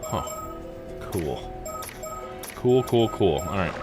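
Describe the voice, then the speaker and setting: A man with a low voice gives casual game commentary over prominent background music. He sounds laid-back and jokey, thinking aloud and paraphrasing as he goes. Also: lively intonation, very slow. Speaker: streamer playing a visual novel. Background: video game music.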